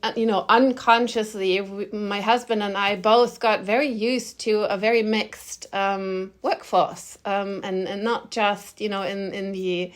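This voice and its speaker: A woman's voice: medium pitch, steady tone, a little slow, few pauses. A middle-aged woman from Germany, slightly nasal from a cold, answering interview questions in a candid, informal and emphatic way. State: has a cold. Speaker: interview guest, agency founder.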